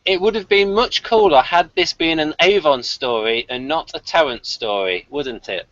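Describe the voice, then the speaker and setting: British man, higher-pitched, dryly wry. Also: normal speed, a bit bright, no room sound. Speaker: podcast co-host. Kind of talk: casual review chat, partly reading out notes. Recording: slightly dull, lo-fi voice recording.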